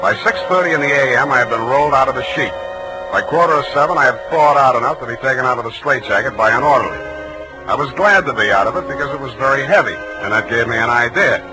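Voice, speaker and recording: An actor playing a wry, hard-boiled private detective in 1940s radio style, deadpan and wisecracking. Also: male voice, mid-range voice, fast talker, natural voice, poor audio. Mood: wry, weary. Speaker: lead actor and narrator. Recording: 1940s radio recording with music.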